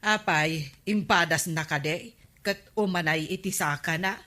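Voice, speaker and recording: A woman's voice: lowish voice, measured pace, bright, forceful tone. A woman playing an inquisitive, nagging village woman with an Ilocano accent in a radio comedy drama, questioning in a matter-of-fact way. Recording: faint music under voice. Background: faint music.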